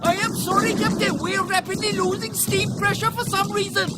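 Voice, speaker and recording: A man's voice: very high-pitched, level tone, normal speed, over loud music. A man voicing embattled soldiers in a steampunk radio comedy. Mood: urgent. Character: embattled army captain and his unflappable engineer. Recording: noisy vehicle battle sound effects. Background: outdoor engine and battle ambience.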